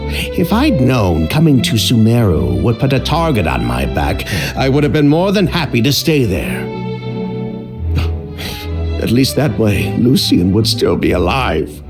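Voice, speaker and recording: A man's voice: a little high, heavily acted. An actor playing a defensive, scheming middle-aged businessman in a game, dismissive in tone. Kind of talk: game voice acting. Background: game music.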